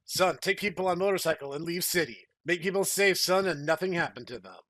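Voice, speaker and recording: An earnest action-hero father, acted by a man in a comic fan fiction reading. The voice sits fairly high, and he declaims clumsy, broken sentences as commands. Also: expressive, fairly quick, a bit bright, no room sound. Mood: urgent, commanding.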